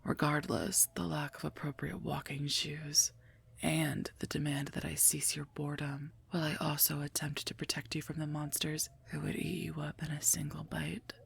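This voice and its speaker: Woman acting a gruff, gravelly female werewolf knight. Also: sits low, level tone, moderate pace, heavily acted. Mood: mocking, disdainful. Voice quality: husky, gravelly, breathy. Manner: dry, curt, mocking. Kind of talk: scripted ASMR roleplay, addressed to listener.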